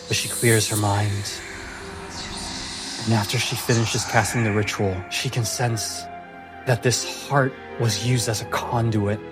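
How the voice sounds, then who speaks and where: Man, game master, low voice, slow measured fantasy narration, music behind. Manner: measured, deliberate, storyteller delivery.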